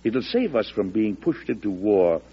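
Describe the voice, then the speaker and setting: Husky, animated old-radio president. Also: male voice, on the low side, normal speed, full character voice. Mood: resolute. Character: aging, firm, proud American president, calm under threat. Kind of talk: old-time radio drama acting. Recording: dull, lo-fi old radio recording.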